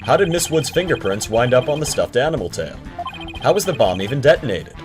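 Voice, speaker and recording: A man's voice: medium pitch, very animated, a little fast, natural voice. A man playing an earnest, composed defense attorney with a polite, assured manner in a video game fandub. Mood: firm, polite. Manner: polite, assured, occasionally exasperated. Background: prominent game music.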